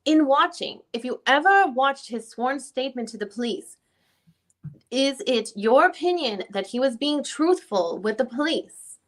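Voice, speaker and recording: A woman's voice: high-pitched, lively intonation, measured pace. A woman reading the part of a persistent defense lawyer questioning a witness in a dramatic reading of a deposition, often rephrasing her questions. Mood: pressing, methodical. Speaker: reader playing a deposing attorney.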